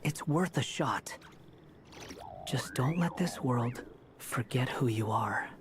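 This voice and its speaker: A man's voice: medium pitch, expressive, slow pace, background noise. A man playing a guarded, serious young man in a video game, terse and earnest. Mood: resolute.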